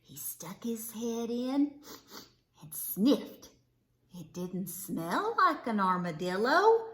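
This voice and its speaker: A woman reading a children's storybook aloud expressively, with dramatic, drawn-out emphasis on words. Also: on the high side, sing-song, a bit bright. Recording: echoey, roomy sound.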